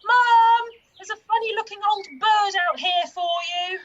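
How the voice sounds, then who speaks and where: A woman playing a cheeky, excitable, money-minded young daughter in a radio pantomime. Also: very high-pitched, normal speed, highs cut off. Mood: excited.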